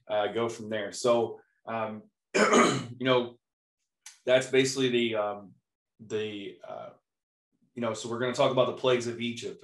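Male teacher, hoarse and throat-clearing, relaxed chatty sermon, echoey room. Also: mid-range voice. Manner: relaxed, chatty, with light laughs. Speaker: Bible teacher. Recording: roomy echo.

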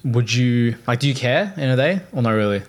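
A man's voice: medium pitch, animated, fairly quick. A man hosting a podcast, chatting casually and backing up his guest with constant short agreement. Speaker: podcast host. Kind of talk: casual chat.

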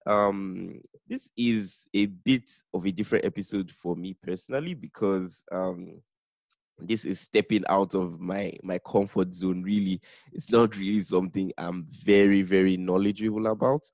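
A man's voice: deep voice, steady tone, normal speed, gravelly, darkish tone, no room sound. A man hosting a podcast and quizzing his guest in a playful, teasing way. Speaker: podcast host. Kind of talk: casual podcast interview.

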